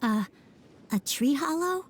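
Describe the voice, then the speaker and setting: A woman's voice: high voice, expressive, slow pace, a little hiss or hum. A woman voicing a shy, polite little child in a video game, hesitant and small-voiced, with music behind. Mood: hesitant, curious. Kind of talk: animated video game voice acting.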